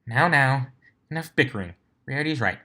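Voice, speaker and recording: A man's voice: mid-range voice, expressive, moderate pace, pushed and bright, pitched above the performer's own voice. A man voicing a sensible female unicorn who mediates in a placating, reasonable manner for a story reading.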